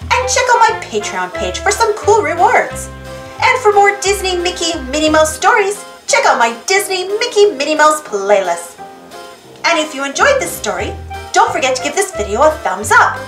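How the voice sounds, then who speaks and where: A high-pitched woman reads a children's storybook slowly and expressively. Her voice swings in wide, singsong arcs. The recording has a roomy echo with faint music underneath. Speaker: storybook reader. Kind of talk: children's storybook read-aloud.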